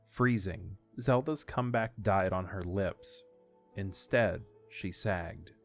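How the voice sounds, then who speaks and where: A man's voice: deep voice, slow. A man reading scripted narration for an audio drama story. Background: faint music.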